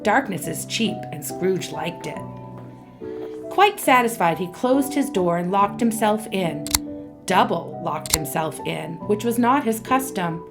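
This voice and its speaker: A woman's voice: medium pitch, lively intonation, unhurried. Woman narrating over music. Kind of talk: radio play narration.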